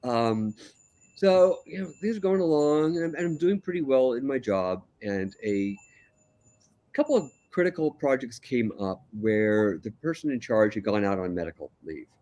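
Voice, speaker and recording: An older man, a calm and relaxed guest, telling his life story in a casual interview. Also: mid-range voice, normal speed.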